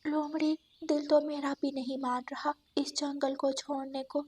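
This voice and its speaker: A woman voicing a naive, trusting but stubborn female goat in an animated moral story. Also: sits high, a little slow, pitched above the performer's own voice. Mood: trusting, firm.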